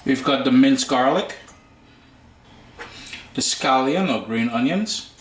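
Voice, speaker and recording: Relaxed Trinidadian man in an echoey kitchen. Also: mid-range voice, takes it slowly, slight background noise. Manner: relaxed, chatty, slangy asides. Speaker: cooking show host. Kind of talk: casual cooking tutorial.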